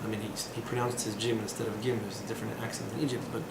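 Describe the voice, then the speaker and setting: A man's voice: on the low side, little pitch movement, racing, gravelly. Chatty man lecturing with dry jokes. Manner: chatty, digressive, sardonic humor, frequent fillers. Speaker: rabbi teaching a class. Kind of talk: informal lecture with asides and jokes. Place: small indoor room. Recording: echoey room with background noise.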